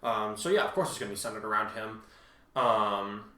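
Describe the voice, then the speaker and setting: A man casually explaining as a co-host, halting but emphatic as he talks through his points. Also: lowish voice, expressive, sharp tone. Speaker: co-host and fan fiction author responding to reviews. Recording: roomy, echoing sound.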